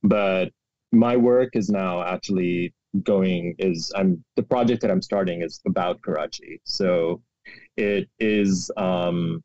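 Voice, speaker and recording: A man in his forties, raised in Pakistan, talks in a very low voice, searching for words and doubling back, with a dry wit. The room is dead and dry. The audio is a little muffled and lo-fi. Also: darkish tone, no echo. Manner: thoughtful, many restarts and fillers, wry. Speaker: guest historian. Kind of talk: reflective conversational interview.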